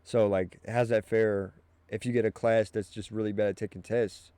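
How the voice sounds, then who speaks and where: Mellow, casual young host. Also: male voice, lowish voice, little pitch movement, normal speed, smooth, no room sound. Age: 20s. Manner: informal, lots of "like" and "you know what I mean", restarts. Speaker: podcast host. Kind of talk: casual podcast chat.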